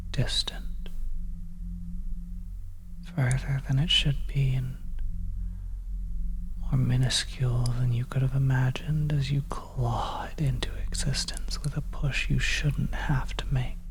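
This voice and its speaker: A narrator speaking in a soft, breathy whisper, delivering second-person horror ASMR in a slow, hushed, ominous way. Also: medium pitch, flat delivery. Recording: noisy oppressive ambience behind the whisper. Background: dark ambient soundscape.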